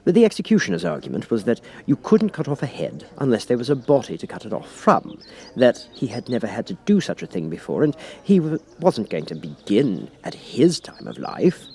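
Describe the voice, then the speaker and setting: Animated male storybook narrator. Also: mid-range voice, a little fast. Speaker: audiobook narrator.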